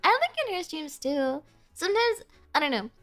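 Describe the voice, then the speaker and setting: A woman's voice: extremely high pitch, sing-song, moderate pace, soft background music. A young woman streaming and chatting casually with her viewers, bubbly and giggly, full of "like" fillers, with a stuffy, sniffly nose.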